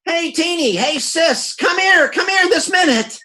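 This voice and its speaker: An actor playing a folksy, blustering, scheming husband in old-time radio comedy, using slang and stammering when flustered. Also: male voice, extremely high pitch, animated, a little slow, bright, forceful tone, shouting, exaggerated voice. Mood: flustered, panicky. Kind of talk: vintage radio comedy acting.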